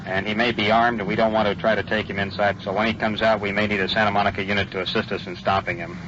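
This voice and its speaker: American police guy, lowish voice, clipped businesslike talk, muffled 50s tape, really loud car rumble. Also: level tone, fast. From United States. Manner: terse, businesslike. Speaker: police officer on patrol. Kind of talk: real police radio calls and on-duty talk. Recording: dull 1950s field recording, loud car noise.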